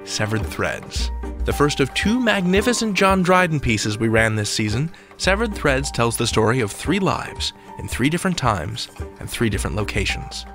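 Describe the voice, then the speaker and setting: A man's voice: medium pitch, sing-song, normal speed, a bit bright, faint music behind. An American man hosting a podcast countdown with playful, theatrical scripted narration. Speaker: podcast host.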